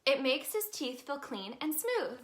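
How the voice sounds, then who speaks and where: A woman reading a children's picture book aloud as a storyteller, in an expressive, sing-song manner aimed at young children. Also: high voice, unhurried. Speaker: storyteller in character as the Tooth Fairy.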